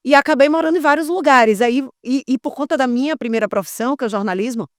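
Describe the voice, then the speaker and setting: A middle-aged woman from Salvador with a softened Bahian Brazilian accent, chatting expressively as a podcast guest outdoors. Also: high-pitched, quick pace, no echo, clean studio sound. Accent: Bahian Brazilian Portuguese, softened. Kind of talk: casual interview chat.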